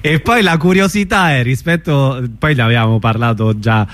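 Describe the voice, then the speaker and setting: A man's voice: medium pitch, moderate pace, a bit bright. A man hosting a casual radio interview, chatting informally with his guests. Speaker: radio host.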